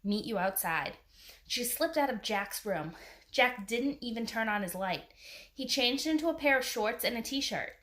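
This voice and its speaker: Higher-pitched woman reading a children's book. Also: fairly bright tone. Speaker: storybook reader. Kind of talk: children's book read aloud.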